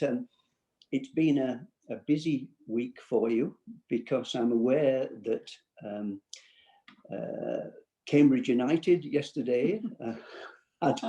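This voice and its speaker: A man's voice: mid-range voice, warm tone. A man hosting an interview, introducing his guest and asking questions with frequent hesitations. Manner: hesitant, many fillers, friendly. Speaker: host. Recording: echoey room.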